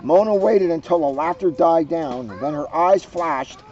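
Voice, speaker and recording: A man's voice: a little high, moderate pace, a little mellow, dull audio, faint music behind. A man reading a storybook aloud with playful, joking asides.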